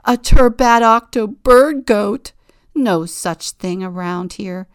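A woman voicing a huge, jolly animal that trumpets and laughs loudly. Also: slow, no room sound, clean studio sound, lightly acted. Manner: loud, laughing, booming.

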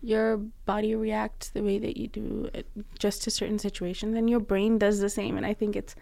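A young woman co-hosting a casual podcast, animated and quick to laugh, with lots of "like" fillers. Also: mid-range voice, gravelly, dry recording. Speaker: podcast co-host. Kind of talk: casual chat.

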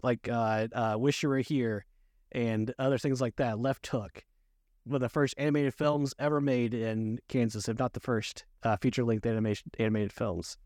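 A man hosting a casual movie review podcast, talking in a halting, repetitive way. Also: medium pitch, a little mellow, dry recording, studio quality. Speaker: podcast host.

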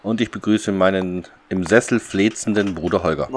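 A man's voice: deep voice, moderate pace, slight background noise. A man hosting a podcast in a casual, unscripted chat, often hesitating as he presents. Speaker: podcast host.

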